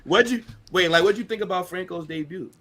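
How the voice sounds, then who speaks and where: High-pitched man with a bright, emphatic voice. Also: brisk. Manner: slangy banter, emphatic rhetorical questions. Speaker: football show host and streamer. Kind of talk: casual, opinionated football chat.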